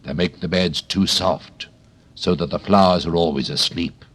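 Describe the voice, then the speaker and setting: A man reading a storybook and voicing a proud, bossy female tiger lily flower in an imperious, slow, commanding manner. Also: low-pitched, fairly even intonation, fairly bright tone, breathy edge. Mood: haughty.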